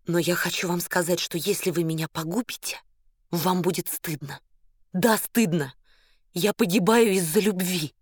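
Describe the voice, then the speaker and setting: A woman's voice: on the low side, normal speed, husky, no echo, clean studio sound. A woman playing a proud, desperate woman in love in an audiobook, emotional, insistent and defiant. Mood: impassioned, desperate. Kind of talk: dramatised audiobook character acting.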